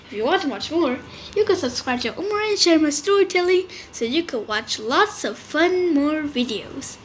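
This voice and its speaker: A woman reading a children's picture book aloud, clear and expressive. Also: high voice, normal speed, a little hiss or hum. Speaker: children's storyteller.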